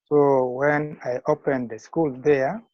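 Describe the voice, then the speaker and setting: An older man in his sixties with a Ugandan accent, giving measured, formal interview answers as a school founder. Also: medium pitch, fairly even intonation, no echo. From northern Uganda. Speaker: school founder and retired teacher. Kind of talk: interview answers on a video call. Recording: muffled lo-fi call audio.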